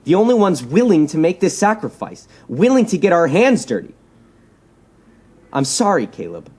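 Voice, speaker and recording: An actor playing a persuasive, self-righteous young superhero leader in an audio drama, speaking earnestly and insistently and repeating words for emphasis. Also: male voice, mid-range voice, a little mellow. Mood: defiant, bitter.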